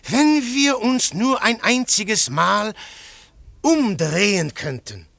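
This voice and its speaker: Grüblerischer Detektiv. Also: male voice, high voice, sing-song, takes it slowly, gravelly, no room sound, exaggerated voice. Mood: brooding. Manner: fervent, declamatory, shifting to hushed musing. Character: intense male detective, brooding philosopher. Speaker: detective narrator. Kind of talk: radio play acting.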